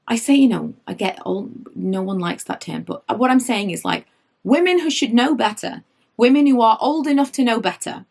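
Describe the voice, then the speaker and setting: A woman in her late thirties, slightly hoarse from losing her voice, chatting through her makeup favourites and wandering off on tangents. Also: medium pitch. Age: late 30s. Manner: rambling, goes off on tangents; animated, rises when indignant. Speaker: beauty vlogger.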